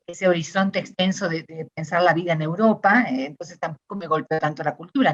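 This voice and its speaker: A middle-aged Argentine woman with an Argentine Spanish accent, answering interview questions in a thoughtful, searching way with many pauses and restarts. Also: lowish voice, lo-fi sound. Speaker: interviewee, discourse analysis scholar. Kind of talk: reflective conversational interview.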